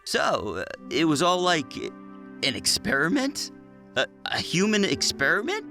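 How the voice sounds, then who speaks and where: A nervous but eager teenage boy in a cartoon style. The voice is high and bright, with stammers and slangy outbursts, and faint music plays underneath. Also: wildly swooping pitch, a little slow, exaggerated voice. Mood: sheepish, hesitant. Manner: stammering, slangy, self-talking, exclamatory. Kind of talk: animated character acting for a motion comic.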